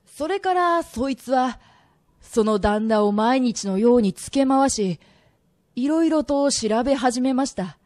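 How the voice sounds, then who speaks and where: A woman's voice: high-pitched, lively intonation, measured pace, darkish tone. A woman playing a remorseful, earnest young merchant in a radio drama, telling his story as an emotional confession. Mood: earnest, restrained. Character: reformed young merchant man, earnest, remorseful, pleading son.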